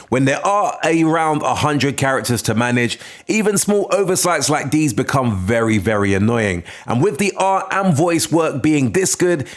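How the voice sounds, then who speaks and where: A man narrating a scripted video game review as the channel's host, in an informal, conversational way. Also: medium pitch. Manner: informal, conversational opinion-giving.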